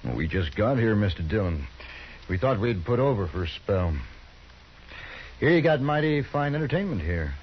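An actor playing a calm, quietly threatening outlaw boss on an old 1950s radio drama. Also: male voice, fairly low pitch, normal speed. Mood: menacing. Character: cool, menacing outlaw boss. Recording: dull hissy 1950s radio broadcast.

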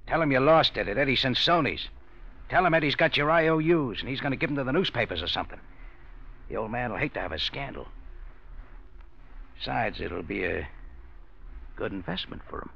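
An actor playing a smooth racketeer who makes veiled threats in insinuating tough-guy slang, in 1940s radio crime drama style. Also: medium pitch, lively intonation, a little fast, long pauses, pushed and bright, heavily acted. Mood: sly menace. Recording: muffled old radio recording, faint noise.

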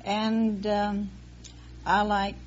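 A woman's voice: medium pitch, monotone, extremely slow. A woman, a quiz show contestant, giving short matter-of-fact answers on an old radio show. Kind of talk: brief, simple answers in an interview. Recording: muffled vintage radio recording.

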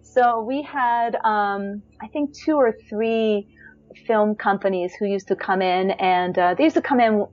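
A woman in her thirties with a high voice, reflectively telling her life story in a chatty way, full of fillers and restarts. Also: unhurried, a little mellow, muffled recording, faint music behind. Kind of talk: personal storytelling.

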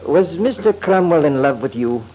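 An actor in a classic radio soap opera playing a formal, measured lawyer questioning a witness, on a noisy old recording. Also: male voice, fairly high pitch, expressive, warm tone, close to an everyday voice. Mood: pressing. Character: measured, probing male courtroom lawyer.

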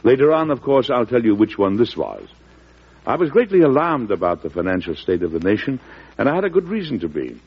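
An actor playing a stern, wry older American president in a 1940s radio drama, emphatic with an occasional stammer. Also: male voice, medium pitch, very animated, fairly quick, breathy edge. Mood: grave narration. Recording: muffled old radio broadcast.

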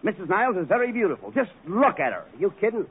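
A man as the straight man in 1940s radio comedy, placating and brisk, heard on an old broadcast. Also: sits high, very animated, husky, narrow, tinny audio.

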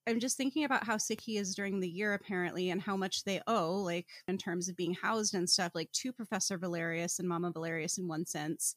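A woman's voice: medium pitch, no echo. Wry woman podcaster, close dry sound. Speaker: podcast co-host. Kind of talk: casual book discussion podcast.

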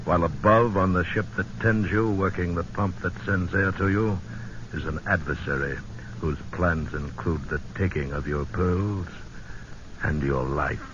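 Man, low voice, ominous dramatic narration, deliberate, muffled noisy vintage radio. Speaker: radio drama teaser announcer. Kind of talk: dramatic second-person 1940s-50s radio narration. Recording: old muffled, noisy radio broadcast recording.

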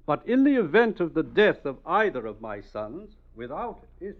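A man's voice: fairly high pitch, sing-song, moderate pace. An actor playing a stern, wealthy father in a vintage radio drama, pronouncing his words formally. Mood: solemn. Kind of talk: 1950s radio drama acting. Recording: dull old radio recording.

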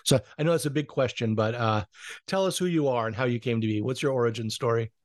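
A man hosting a podcast interview, introducing and questioning his guest with hesitant pauses between phrases. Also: mid-range voice, no echo, studio quality.

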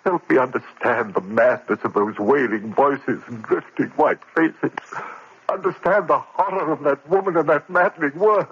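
A man's voice: fairly high pitch, moderate pace, a bit bright, breathy edge, exaggerated voice. An actor playing a tormented male college lecturer losing his mind, in an intense 1940s radio drama delivery. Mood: haunted. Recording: muffled, faintly hissy old radio recording.

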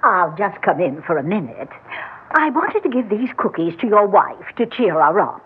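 An actress playing a sweet, fussy old widowed neighbour, chatty and easily huffy, in old radio drama style. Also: female voice, mid-range voice, sing-song, moderate pace, husky. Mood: sympathetic. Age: older. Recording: tinny, phone-like old radio recording.

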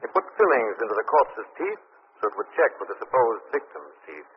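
An actor playing a calm, assured gentleman sleuth in 1940s radio drama style. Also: medium pitch, brisk, breathy edge. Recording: noisy muffled old radio recording.

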